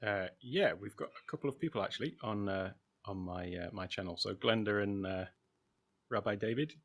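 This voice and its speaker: A man's voice: lowish voice, fairly quick, a bit bright, dry recording. A British man from the UK, a guest talking loosely and unscripted over a video call, with many filler words. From United Kingdom. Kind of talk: casual livestream interview. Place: at home in Thailand.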